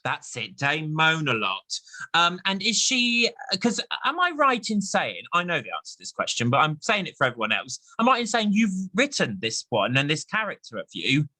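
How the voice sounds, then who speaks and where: Lively, bright-voiced English man hosting. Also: a little high, moderate pace, rough recording. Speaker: host, interviewer. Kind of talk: casual chat interview.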